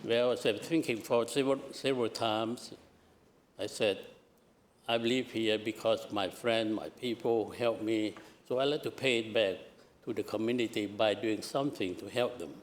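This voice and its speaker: A man's voice: fairly low pitch, steady tone, a little hiss or hum. An older man from southern Laos with a Lao accent, speaking hesitantly in farewell remarks at a public meeting. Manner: halting, hesitant, restarts phrases. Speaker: retiring commissioner. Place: council meeting chamber.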